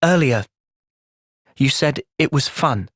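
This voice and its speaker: Earnest young game hero, thoughtful soldier, very expressive pitch, dry close sound. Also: male voice, slow, dry recording. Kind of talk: video game cutscene voice acting.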